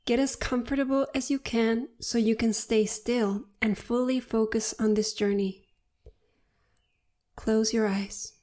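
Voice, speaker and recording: A woman narrating a guided meditation in a soothing, unhurried and deliberate manner. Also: on the high side, fairly even intonation, darkish tone.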